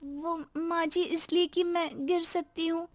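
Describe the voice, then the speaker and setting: A woman's voice: very high voice, steady tone, slow, heavy background noise, voice pushed up. A woman voicing a sweet, timid young daughter-in-law eager to please, in a cartoon story. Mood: timid, apologetic. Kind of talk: cartoon moral-story voice acting.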